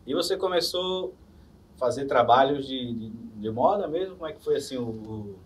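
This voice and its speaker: A man's voice: medium pitch, moderate pace. A man, hosting a casual podcast interview and reading sponsor plugs. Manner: relaxed, chatty, reads sponsor plugs. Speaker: podcast host. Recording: distant mic with faint room noise.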